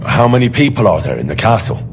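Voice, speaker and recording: A man's voice: on the low side, sing-song, fast, slight background noise. A young man playing a brave, stubborn man-at-arms in a medieval video game, plain-spoken and self-assured. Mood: determined. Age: young adult. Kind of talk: cinematic video game acting.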